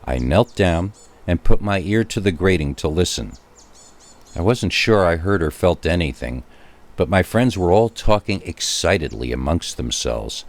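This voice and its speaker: Very deep-voiced man telling scary stories. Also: expressive, a little slow. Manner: wry, conversational asides to the listener. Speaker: horror story narrator. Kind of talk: dramatic first-person scary story narration.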